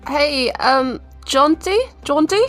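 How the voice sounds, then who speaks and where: A woman playing a timid, naive young traveller in a fantasy tabletop game, halting and hesitant, with a New Zealand accent. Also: high voice, a bit bright, faint music behind.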